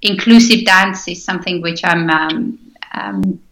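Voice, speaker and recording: A woman answers interview questions over a video call. Her fairly low voice has a bright edge and plenty of pauses and "uh"s. The room adds a noticeable echo. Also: fairly even intonation, creaky. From Estonia. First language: Estonian. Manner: thoughtful, many hesitations. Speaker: dancer and choreographer guest. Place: home in Glasgow. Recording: roomy echo.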